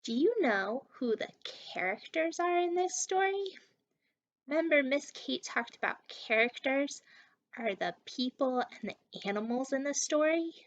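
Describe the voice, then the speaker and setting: Lady doing kids storytime, really high voice, encouraging teacher talk, sounds kinda far from the mic. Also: expressive. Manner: encouraging, exaggerated child-directed delivery with pauses for answers. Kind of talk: read-aloud and interactive lesson for young children. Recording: dull, distant microphone sound.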